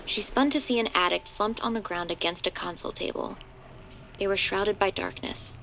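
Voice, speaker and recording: A woman's voice: mid-range voice, moderate pace, a bit bright, slight background noise, natural voice. A woman reading scripted narration for a horror audio drama. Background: background music.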